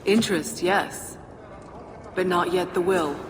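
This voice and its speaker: An actor playing an aloof, sardonic fire spirit in a video game, with a dry, formal manner. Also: male voice, high-pitched, slow pace. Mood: guarded. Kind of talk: video game dramatic acting. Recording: long reverb, clear background noise.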